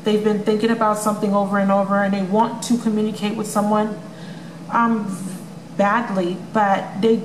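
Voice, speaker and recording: A woman gives a rambling tarot love reading straight to her viewers, chatty and full of ums. Her voice is strained from many readings and may crack. She is recorded in an echoey room with a steady hum of noise behind her. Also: mid-range voice, moderate pace. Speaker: tarot reader. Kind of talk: casual tarot reading vlog. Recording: echoey room with noisy background.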